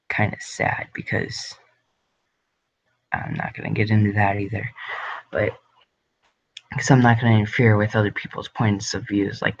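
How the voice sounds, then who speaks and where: A man's voice: lowish voice, gravelly, dull audio. A young man hosting a casual livestream from his home grow room, rambling with lots of ums and likes. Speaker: livestream host.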